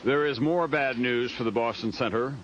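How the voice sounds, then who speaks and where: A man's voice: mid-range voice, normal speed, a bit bright. A man narrating a news documentary in a scripted reporter's style. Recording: dull lo-fi audio, faint noise.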